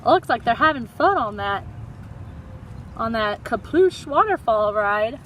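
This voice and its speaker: High-pitched, animated woman reading to children. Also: unhurried, a little hiss or hum. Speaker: teacher reading aloud to children. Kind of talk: animated children's story read-aloud.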